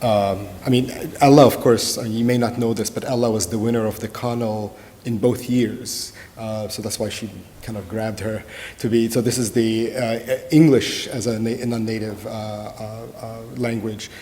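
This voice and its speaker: A man's voice: lowish voice, steady tone, moderate pace, darkish tone, big-room sound. A man leading a conference Q&A discussion as organizer, hesitant with many fillers and restarts. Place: conference room.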